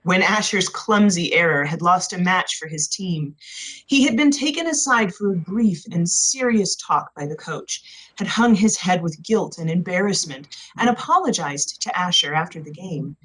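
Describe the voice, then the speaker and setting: A woman reading a novel aloud as expressive scripted narration. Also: on the low side, moderate pace, slightly rough, poor audio. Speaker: audiobook narrator.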